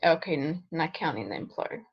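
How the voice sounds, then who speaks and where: A woman's voice: deep voice, expressive, a little fast, vocal fry, husky, dull audio. A female student asking brief, tentative questions in class.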